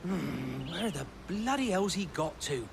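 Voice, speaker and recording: An actor with a British accent playing a worried, plain-spoken young stable keeper in a video game. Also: male voice, on the high side, lively intonation, measured pace, vocal fry, background noise. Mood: fretful. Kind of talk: video game cutscene acting.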